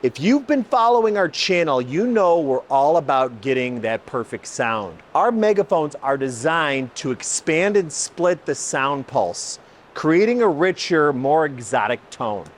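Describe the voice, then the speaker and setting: A man's voice: on the high side, big pitch swings, unhurried, slight background noise. A man hosting an automotive video, presenting and explaining in an emphatic, enthusiastic way. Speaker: host, exhaust shop builder. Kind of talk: presenting car exhaust comparison, part scripted, part explanatory.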